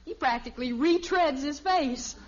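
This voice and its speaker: A woman's voice: high-pitched, moderate pace, very noisy, lightly acted. An actress playing a breezy, wisecracking American teenage girl in a 1950s radio sitcom, slangy and wry. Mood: breezy, amused. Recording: muffled old radio recording.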